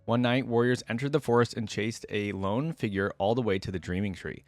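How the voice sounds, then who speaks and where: A man's voice: fairly low pitch, fairly quick, no room sound. A man hosting a reaction channel, talking casually and reading aloud as he comments. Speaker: host of a game lore reaction channel.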